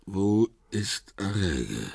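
A battered, drunk, volatile photographer. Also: male voice, low voice, big pitch swings, extremely slow, gravelly, husky, dry recording, studio quality, heavily acted. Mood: groggy, suspicious. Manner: erratic, swings from groggy to shouting. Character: beaten, drunk male photographer, distrustful and volatile. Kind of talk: radio drama acting.

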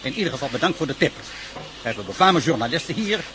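An actor playing a brusque, money-minded newspaper boss in a radio play, brisk and dismissive. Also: male voice, medium pitch, very animated, close to an everyday voice. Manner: brisk, dismissive, barking orders. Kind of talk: radio drama acting. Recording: noisy radio play recording.

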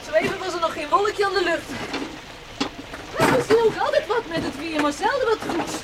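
A woman's voice: very high voice, lively intonation, a little fast. A woman playing a bustling, chatty housewife in a children's audio drama, full of folksy little interjections. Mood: flustered, exclaiming. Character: bustling, chatty housewife fussing over her laundry. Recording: noisy recording with clicks.

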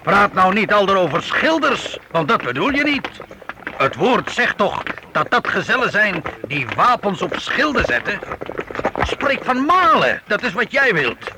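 A man's voice: high-pitched, big pitch swings, unhurried, pauses often, exaggerated voice. An actor playing a jovial, fatherly master who likes to instruct, in a period radio play. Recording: noisy old radio drama recording.

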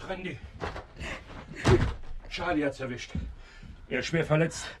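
Actor as a high-voiced scheming militant man. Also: measured pace, heavy background noise, close to an everyday voice. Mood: grave. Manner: excited, cynical. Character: eager male militant gang comrade, scheming. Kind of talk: radio drama acting.

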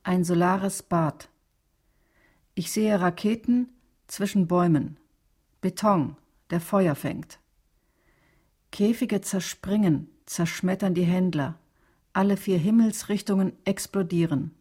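A woman performing a cool seer of cosmic and wartime visions in a radio drama, speaking in measured, declarative phrases. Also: fairly low pitch, darkish tone, breathy edge. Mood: stark. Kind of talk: dramatic radio poetry recitation.

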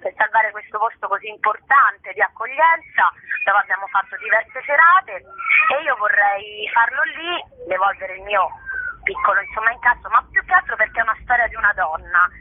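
A woman's voice: mid-range voice, animated, normal speed, no echo. A middle-aged woman speaking effusively and spontaneously in an interview over the telephone, often repeating her phrases as she goes. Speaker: actress interviewed about a show. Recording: band-limited, dry telephone line.

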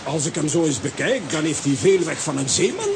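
A man playing a bumbling, self-important comic-strip hero in a children's audio drama, fussy and excitable. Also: a little high, fairly quick, gravelly. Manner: fussy, excitable, repeats himself. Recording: noisy audio with rain behind.

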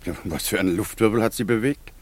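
An actor playing a matter-of-fact, sceptical husband in a radio drama, pragmatic and questioning. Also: male voice, on the low side, a little fast, natural voice.

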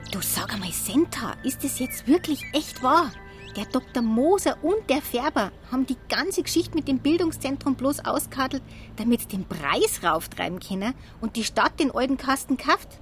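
A woman's voice: sits high, animated, normal speed. An actress playing a chatty, credulous newspaper kiosk woman in a radio drama, speaking colloquial Bavarian. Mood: incredulous gossip. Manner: colloquial, gossipy. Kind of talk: naturalistic radio drama dialect dialogue. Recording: music behind the voice.

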